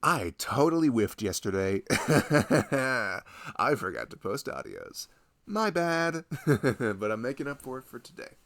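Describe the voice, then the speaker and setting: A man's voice: mid-range voice, big pitch swings, slow pace, a bit bright. A man chatting off the cuff in a self-deprecating, rambling way as an audio roleplay creator introducing his work. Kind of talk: casual rambling improvised intro.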